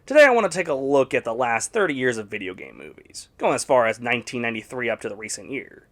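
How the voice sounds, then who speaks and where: A man's voice: mid-range voice, big pitch swings, quick pace, fairly bright tone. A man narrating a scripted video essay reviewing video game movies, with sarcastic, blunt opinions.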